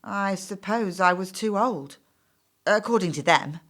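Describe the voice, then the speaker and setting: An actress playing an anxious, defensive job applicant in her thirties in a stage play, hesitant, apologetic and quick to justify herself. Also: medium pitch, a bit bright, natural voice. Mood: uneasy.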